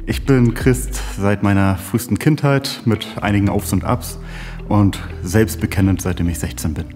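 A man in his early thirties talking about himself in an earnest, measured way. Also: fairly low pitch, music in the background. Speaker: trainee pastor. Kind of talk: personal conversation.

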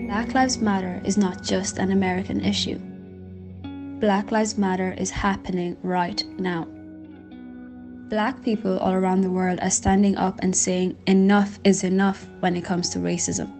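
A woman's voice: medium pitch, level tone, moderate pace, music in the background. A woman with an Irish accent delivering firm, declarative campaign narration.

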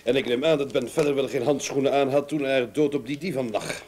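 An actor playing a brusque, sceptical investigator in a radio play, with clipped, probing questions. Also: male voice, medium pitch, fairly even intonation, fairly quick, a little mellow, close to an everyday voice. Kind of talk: Dutch radio play acting. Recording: slightly noisy old radio drama recording.

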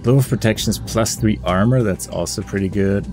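A man's voice: fairly low pitch, a little fast. A man giving casual game commentary, musing and weighing his options aloud in a hesitant way. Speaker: gaming video creator. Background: game music.

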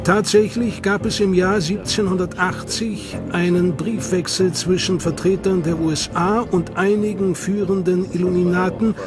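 A man narrating a documentary in a measured, dramatic manner. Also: high-pitched, flat delivery. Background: music.

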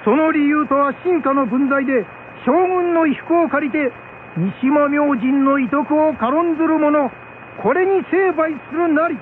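A man acts a stern shogun in a radio drama, pitched strikingly high. He commands in lordly, archaic phrases. The sound is thin and phone-like, with noise behind it. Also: measured pace. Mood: stern, commanding. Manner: imperious, archaic lordly speech. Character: stern shogun handing down a harsh judgment. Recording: muffled narrowband radio with background noise.

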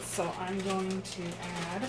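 Female home cooking vlogger, mid-pitched, unhurried and hesitant, casual demo delivery, noisy kitchen recording. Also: completely flat delivery.

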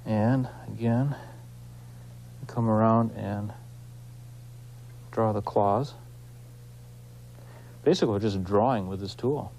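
A man hosting an instructional craft demonstration, explaining informally and in a folksy, unhurried way while he works with his hands. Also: lowish voice, gravelly, dark tone, a little hiss or hum. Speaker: woodcarving instructor, show host.

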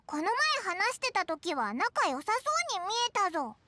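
A woman's voice: sing-song, moderate pace, bright, forceful tone, slight background noise, heavily acted. A woman voicing a tiny, cheeky, childlike fairy guide in a Japanese anime game, exclamatory and boyish in her phrasing. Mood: curious. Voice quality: squeaky, childlike.

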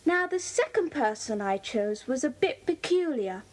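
A woman's voice: sits high, big pitch swings, normal speed, darkish tone. A woman with a British accent playing a keen, observant schoolgirl in a children's audio drama, describing things precisely.

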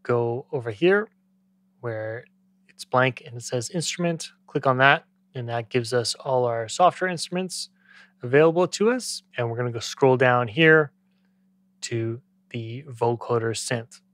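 A man in his thirties giving a conversational, step-by-step software tutorial as an instructor, close to the microphone. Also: medium pitch, a little slow, dry recording. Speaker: music production tutorial instructor.

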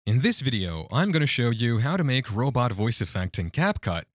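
A man narrating a scripted step-by-step phone app tutorial in an even instructional delivery. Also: medium pitch, normal speed, no echo, studio quality. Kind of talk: scripted screen-recording voice-over.

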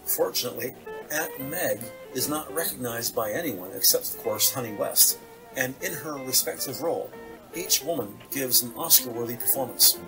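A man narrates a scripted detective story in the present tense. His voice is mid-pitched and sits rather far from the mic, with guitar music clearly behind it. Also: normal speed.

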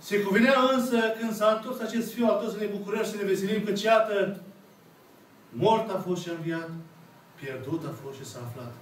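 A Romanian-accented priest in his thirties delivers a slow, emphatic sermon with long pauses. His voice sits high for a man. It reaches the microphone from across a small, echoey church, with faint room noise underneath. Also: recorded from a distance. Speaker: Orthodox priest. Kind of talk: sermon retelling a parable. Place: small church full of icons, congregation seated. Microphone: camera at the back of the room.

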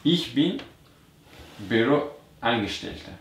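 A man's voice: mid-range voice, big pitch swings, slow pace, creaky. A man in his twenties bantering casually during a game. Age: 20s. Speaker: player in a charades game. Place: small bedroom, indoors. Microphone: distant camera microphone. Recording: echoey room.

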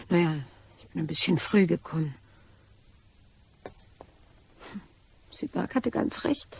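A woman playing a callous, scornful, opportunistic schemer in a German crime radio play, with a very husky, breathy voice and a theatrical, muttering manner. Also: lowish voice, dry recording, full character voice. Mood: puzzled, startled.

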